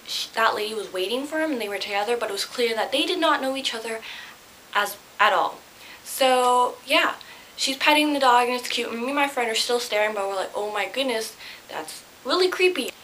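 A young woman telling a personal story casually to camera in an animated, slightly flustered way, recorded in a bedroom on a camera a short distance away. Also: on the high side, brisk. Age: young adult. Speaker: vlogger. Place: small bedroom, indoors. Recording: hissy home camera recording.

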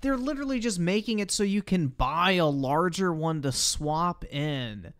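A man's voice: high voice, animated, measured pace, a bit bright. A man doing casual live-stream reaction commentary, blunt and slangy with frequent swearing. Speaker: livestreamer reacting to videos.

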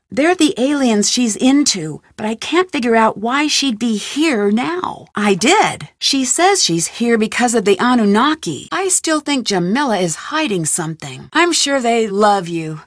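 Casting: a young game sleuth, wry and curious, bright voice, dry studio sound. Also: female voice, on the high side, animated, measured pace, hardly any pauses, no echo. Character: young female amateur detective, curious and wry. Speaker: game protagonist. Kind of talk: video game voice acting. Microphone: close studio mic.

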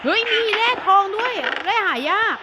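A man voicing a young male cartoon thief, a frantic big brother who keeps shouting warnings to his little brother. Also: very high voice, moderate pace, heavy background noise. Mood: panicky. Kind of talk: cartoon voice acting.

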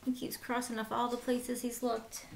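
A woman in her thirties reading a picture book aloud as a teacher, with simple, deliberate phrasing for young children and short asides. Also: fairly high pitch, monotone, gravelly, background noise. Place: small room at home, indoors.

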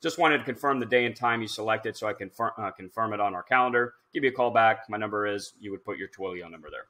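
Man, thirties, lowish pitch, bright punchy tone, casual tutorial presenter, informal, thinking out loud. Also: fast talker. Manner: informal, instructional, thinking aloud as he goes. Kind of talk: casual screen-share software tutorial.

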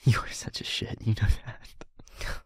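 A man playing a sincere, slightly nervous vampire in an audio roleplay, halting and wry with his friend. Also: no echo, in a whisper, studio quality, natural voice. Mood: wry, amused. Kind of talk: intimate audio roleplay acting.

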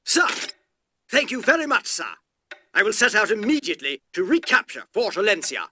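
A man playing an eager, ambitious knight officer in a video game, formal and crisply deferential. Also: high voice, sing-song, moderate pace, noisy background. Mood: eager, proud. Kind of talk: video game cutscene acting.

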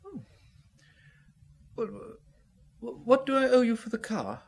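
A man's voice: extremely high pitch, big pitch swings, creaky, exaggerated voice. An actor playing a timid, uncertain businessman who stammers in an old radio drama recording. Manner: halting, stammering.

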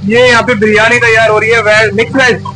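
A man's voice: sits high, flat delivery, a little fast, poor audio. A man hosting a casual food vlog on location in a restaurant, talking in an enthusiastic, repetitive way. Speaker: food vlogger, host. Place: inside a busy restaurant and its kitchen. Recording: music under location speech. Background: music.

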